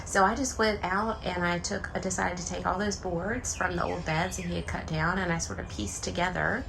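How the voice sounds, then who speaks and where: A woman, chatting casually to her viewers in a rambling, filler-heavy way, her voice hoarse and deepened by illness. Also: steady tone, moderate pace, background noise. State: hoarse, deep voice after illness. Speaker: vlogger. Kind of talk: casual chat to camera. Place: home and backyard garden.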